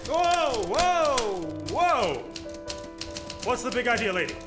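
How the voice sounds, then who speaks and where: A man's voice: very high-pitched, very animated, slow, roomy sound, full character voice. A man playing a cocky, self-dramatizing private detective in a 1940s noir radio parody, with wisecracking gumshoe slang and theatrical delivery. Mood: startled, indignant. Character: rough, cocky hard-boiled private detective, a male, self-dramatizing sleuth. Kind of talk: parody 1940s noir radio acting, alternating narration and dialogue. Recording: live stage radio play with music.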